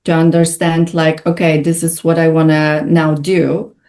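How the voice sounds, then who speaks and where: A woman's voice: deep voice, level tone, a little slow, mellow. A woman from Poland, speaking as an interview guest in a hesitant way with many filler sounds. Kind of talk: conversational interview.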